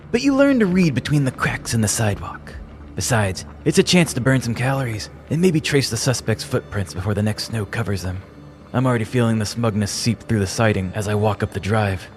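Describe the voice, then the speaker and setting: A man playing a dry, deadpan detective narrating in noir style in a comedy sketch. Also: mid-range voice, close to an everyday voice. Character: deadpan middle-aged male detective.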